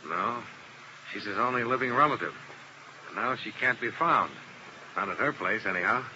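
A man's voice: fairly low pitch, moderate pace, lo-fi sound, lightly acted. An actor playing a hard-boiled male insurance investigator who narrates and questions people in a 1950s radio drama, in a terse, dry, probing manner. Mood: pressing. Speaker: narrator and lead detective. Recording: hissy old radio broadcast.

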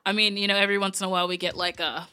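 A woman from Augusta, Georgia, chatting casually on a talk show in a wry way, often trailing off softly. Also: mid-range voice, level tone, racing, smooth. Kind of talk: casual conversation.

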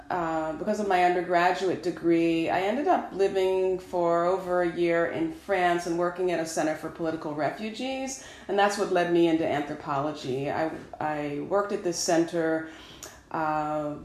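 A woman from upstate New York talks in an interview, thoughtful and unhurried, pausing on fillers as she gathers her words. Her voice sits a little low, and the room around her rings with echo. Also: a little mellow. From Dutchess County, New York. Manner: thoughtful, measured, with frequent fillers. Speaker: professor guest. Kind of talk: podcast interview.

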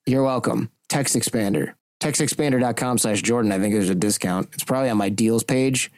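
A man's voice: mid-range voice, a little fast, darkish tone, no room sound. A man who hosts a podcast, chatting casually in a candid, rambling way with the odd mild curse. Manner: candid, rambling, lightly profane. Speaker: podcast host. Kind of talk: casual chat.

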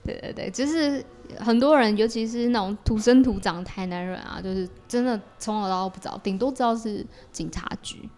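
A woman's voice: medium pitch, a little fast, few pauses, a little mellow, slight background noise. A woman with a Taiwanese Mandarin accent, explaining things in a casual interview as a podcast guest. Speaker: podcast guest, creator of an audio theatre work.

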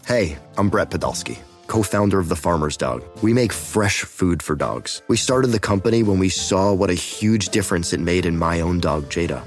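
Deep voice guy doing a founder ad, conversational, quiet music behind. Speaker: company founder in an ad. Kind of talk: scripted conversational ad read. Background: faint music.

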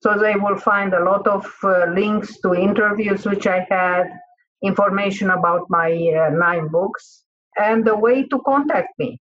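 A woman from Transylvania, Romania, whose first language is Hungarian, calmly explaining things as a podcast guest. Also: medium pitch, a little slow, highs cut off.

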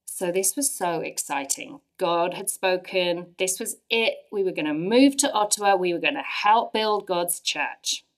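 A woman in her forties with an English accent, earnestly sharing a personal faith testimony with lively emphasis. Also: fairly low pitch, a little slow, hardly any pauses, poor audio. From England. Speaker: church speaker sharing testimony.

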